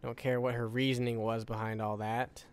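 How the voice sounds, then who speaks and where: A man's voice: medium pitch, level tone, normal speed, clear, resonant tone. Tired man muttering game commentary. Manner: rambling, muttering to himself, repeats phrases. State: tired, voice wearing out. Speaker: gaming video creator. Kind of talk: casual let's-play commentary.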